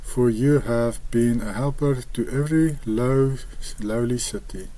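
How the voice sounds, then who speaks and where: A man with an Afrikaans accent, a native Afrikaans speaker, reading scripture aloud and explaining it in a hesitant, halting way with frequent pauses. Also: mid-range voice, mellow, rough recording. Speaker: online Bible commentator.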